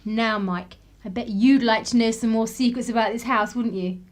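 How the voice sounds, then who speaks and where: A woman's voice: on the high side, fairly even intonation, moderate pace, natural voice. An actress playing a polite, chatty, inquisitive English woman visitor in a radio drama, with a genteel manner and an English accent. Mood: conspiratorial.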